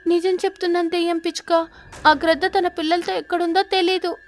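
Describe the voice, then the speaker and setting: A woman voicing a terrified stork in an animated children's story, flustered, pleading and evasive. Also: very high-pitched, fairly quick, faint music behind, pitched above the performer's own voice.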